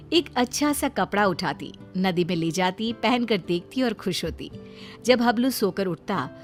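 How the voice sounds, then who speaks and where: A woman storyteller reading a scripted tale as narrator for a children's story. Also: mid-range voice.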